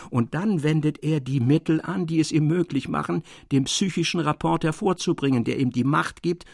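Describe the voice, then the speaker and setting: A man reading a literary tale as scripted narration, in an expressive, measured storytelling manner. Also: medium pitch, gravelly, husky, dry recording, studio quality. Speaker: audiobook reader. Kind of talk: literary scripted narration for German public radio.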